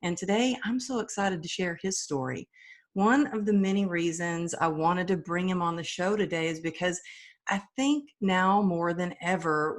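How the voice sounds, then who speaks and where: A woman's voice: fairly low pitch, a bit bright. A woman hosting a podcast interview, welcoming her guest with enthusiastic, effusive praise. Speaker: podcast host.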